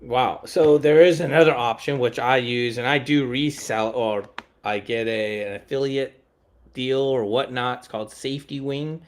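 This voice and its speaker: Relaxed man chatting with viewers. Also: medium pitch, moderate pace. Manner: relaxed, laughs easily, friendly asides to viewers. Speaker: livestream co-host. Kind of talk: casual Q&A chat.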